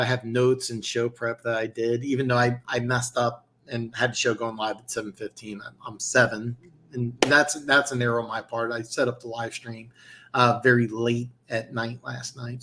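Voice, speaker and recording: A man hosting a live sports-betting stream with a middle-pitched voice that is starting to go. He talks casually, trips over restarts and fills the gaps with fillers. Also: steady tone, a little fast, a bit bright. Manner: rambling, many restarts and fillers. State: losing his voice. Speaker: host of a football picks show.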